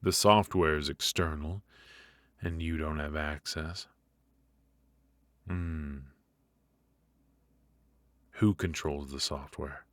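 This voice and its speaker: A man performs a menacing mafia boss with an extremely low voice, recorded close and dry. He talks very slowly and deliberately, teasing his captive with mock politeness in an intimate ASMR roleplay style. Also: fairly even intonation, a bit bright, no echo, exaggerated voice. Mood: probing, unhurried. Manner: slow, deliberate, mockingly polite with drawn-out pauses.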